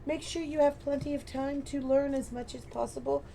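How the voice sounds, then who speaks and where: A woman's voice: high voice, steady tone, moderate pace, gravelly, mellow, voice pushed up. A woman voicing a distracted, kindly male frog-folk artificer, polite and a little fussy, in a tabletop role-play. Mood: friendly. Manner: polite, fussy shopkeeper talk.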